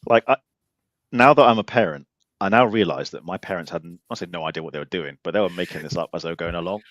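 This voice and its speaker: A man's voice: sits low, fast talker, a bit bright, dry recording. A man hosting a podcast, chatty and jokey, who now has children of his own. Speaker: podcast host. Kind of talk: casual chat.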